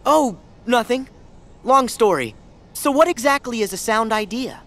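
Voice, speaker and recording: An actor voicing an earnest, excitable teenage boy hero in a video game, eager and sometimes hesitant. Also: mid-range voice, a little slow. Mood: eager, determined.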